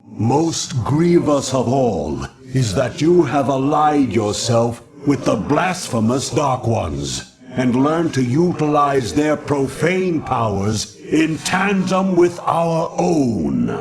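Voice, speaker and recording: A haughty, zealous alien judge in a video game. He declaims pompously in a mid-pitched, hugely animated voice, condemning and authoritarian, over a busy din. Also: slow, a crowd in the background.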